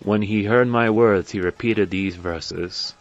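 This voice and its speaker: A man's voice: on the low side, steady tone, slow pace, no room sound. A man playing a courteous, curious broker who narrates his story in formal archaic English for an audio drama. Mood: hospitable, curious. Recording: dull lo-fi sound, faint music behind.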